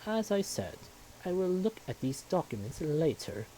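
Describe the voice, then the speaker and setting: A man voicing a stately furry animal king who is fatherly, calm but stern, with formal, measured, commanding speech. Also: on the high side, slight background noise, pitched above the performer's own voice, rough recording.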